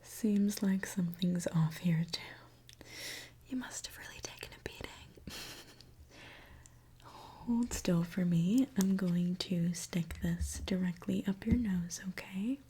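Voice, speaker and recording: A woman doing an ASMR roleplay as a gentle mechanic, speaking in a soft, reassuring, attentive way. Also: fairly low pitch, slow, breathy edge. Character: gentle mechanic repairing a robot client. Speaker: ASMR creator.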